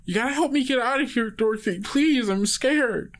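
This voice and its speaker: A man's voice: very high-pitched, moderate pace, voice pushed up. A man voicing a frightened, pleading girl, an imaginary-friend entity, stammering breathlessly in a tabletop game. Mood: scared, pleading. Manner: breathless, stammering, repeating words.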